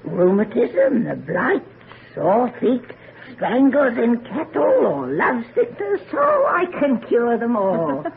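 An actress playing an old country herbalist and fortune-teller, ominous and sharp-tongued, with rustic old-fashioned speech in a radio drama. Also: female voice, a little high, huge pitch swings, slow pace, gravelly, full character voice. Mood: folksy, inviting. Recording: noisy, tinny old radio recording.

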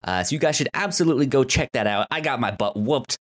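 A man co-hosting a podcast in casual TV recap chat, excitable and often stammering over repeated words. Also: mid-range voice, fast. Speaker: podcast co-host.

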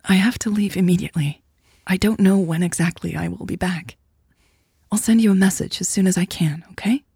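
A woman voicing a tender, secretive woman in an audiobook, speaking softly and breathily in a gentle, apologetic, pleading manner. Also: fairly low pitch, a little fast, no room sound, clean studio sound, heavily acted. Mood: evasive, gentle. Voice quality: soft, breathy, half-whispered. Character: Elle, tender secretive woman leaving her lover at night. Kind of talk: audiobook character dialogue.

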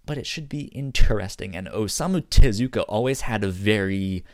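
Lowish voiced guy chatting on a podcast, stutters, pitch jumps around, dry close sound. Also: animated, normal speed, no room sound. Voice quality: stutter, repeating syllables and words. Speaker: podcast co-host. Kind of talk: casual podcast chat.

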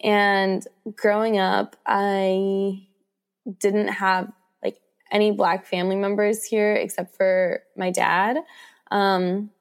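A woman's voice: mid-range voice, level tone, slow, gravelly. A woman with an American accent answering as an interviewed author, conversational and reflective, thinking aloud as she goes. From Washington DC, United States.

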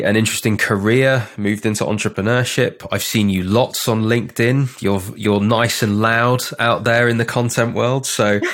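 A man's voice: lowish voice, a bit bright. A man hosting a podcast interview, chatty and rambling as he introduces his guest. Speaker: podcast host.

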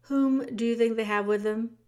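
Woman playing a loud, scornful castle servant. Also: a little high, steady tone, moderate pace, natural voice. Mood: brusque. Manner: loud, emphatic, dismissive.

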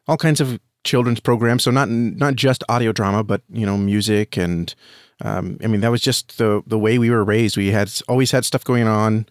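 A man's voice: fairly low pitch, fairly even intonation, normal speed, smooth, dry recording. Thoughtful man, dry close-miked interview. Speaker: podcast guest, himself a podcaster. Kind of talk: casual interview chat.

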